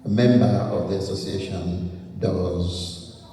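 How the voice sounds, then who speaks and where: A man acting as emcee at a community ceremony, speaking in a measured way with pauses and fillers. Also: deep voice, fairly even intonation, dark tone. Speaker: event emcee. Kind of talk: ceremonial speech at a community event. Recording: echoey hall recorded from far off.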